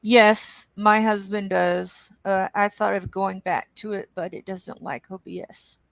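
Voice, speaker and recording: Croaky lady coloring on stream, slow and gravelly, dry close sound. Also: mid-range voice, fairly even intonation, dry recording. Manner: slow, unhurried, reads chat aloud with soft asides. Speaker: coloring livestream host. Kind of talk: casual chat while coloring. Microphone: webcam.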